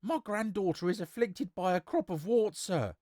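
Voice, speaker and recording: A man's voice: high-pitched, animated, moderate pace, mellow, no echo, studio quality, pitched above the performer's own voice. A man voicing a pleading, deferential old peasant woman in a storybook.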